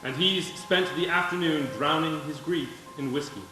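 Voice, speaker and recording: A man playing a calm, knowing guide who narrates a life story in a measured way in a live radio play. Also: fairly high pitch, natural voice. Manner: measured, explanatory. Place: stage of a live theater performance. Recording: echoey stage, distant mic, faint music.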